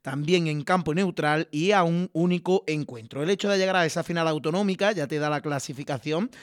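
A man presenting a local sports radio program, explaining fixtures in a steady, informative way. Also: a little high, brisk, no echo, clean studio sound. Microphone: studio microphone.

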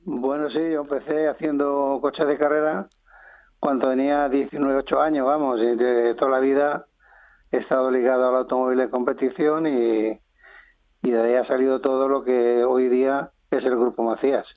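An older man from Madrid, a businessman being interviewed on the radio, talking in a halting way with many pauses and restarts. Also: medium pitch, fairly even intonation, no room sound. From Spain (Madrid). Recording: dry, phone-line sound.

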